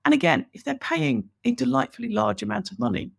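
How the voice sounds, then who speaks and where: A woman's voice: on the high side, fairly quick, creaky. A woman from Ireland with an English accent, chatting enthusiastically as a podcast guest and laughing as she explains. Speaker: guest, business coach. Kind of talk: conversational podcast interview.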